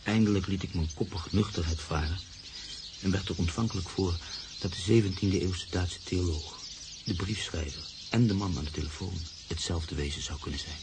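A man's voice: low-pitched, moderate pace, very noisy. An actor playing a brooding, cynical man in love who narrates a radio drama. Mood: solemn, tender.

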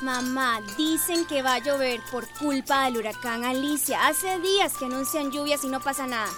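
An actress with a Costa Rican accent playing a resentful, complaining teenage daughter. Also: female voice, high-pitched, normal speed, music in the background, lightly acted. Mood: sulky.

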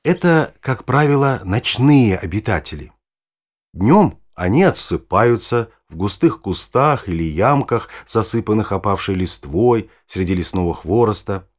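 A kindly old grandfather in a children's audio play. His voice is deep and husky, with animated rises and falls. He explains things patiently and knowledgeably, like a natural storyteller. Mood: instructive, engaged. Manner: unhurried, storytelling, instructive. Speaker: narrator-guide in an educational audio play.

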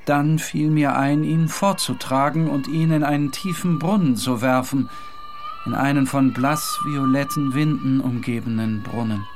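A man playing a disturbed, morbid painter who narrates in a brooding, deliberate literary monologue. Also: mid-range voice, a little hiss or hum, lightly acted. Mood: haunted, brooding.

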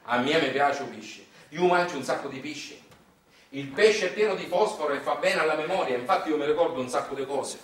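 A man's voice: on the high side, a little fast, lightly acted. Man acting a folksy Sicilian storyteller, roomy and distant. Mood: fatherly, boastful. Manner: colloquial, repetitive, emphatic. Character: folksy, garrulous Sicilian man explaining recipes to his son. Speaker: stage actor in a monologue. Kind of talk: theatrical storytelling monologue in dialect-laced colloquial speech. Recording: roomy, distant stage recording with faint noise.

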